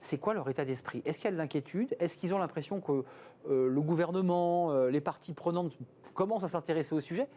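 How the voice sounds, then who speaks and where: A man's voice: on the high side, fairly quick, mellow. A man with a French accent from France hosting a conversational interview, probing and often chiming in with agreement. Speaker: interviewer and programme host.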